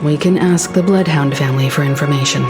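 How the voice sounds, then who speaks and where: A composed, analytical investigator in a game, speaking low and calm. Game music plays clearly behind her lines. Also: a little fast. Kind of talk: game voice acting.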